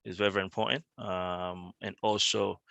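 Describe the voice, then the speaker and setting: A man's voice: low-pitched, level tone, normal speed, gravelly, bright, forceful tone, no room sound, muffled recording. A man speaking as a finance panelist on a video call, hesitant at first and then explaining plainly.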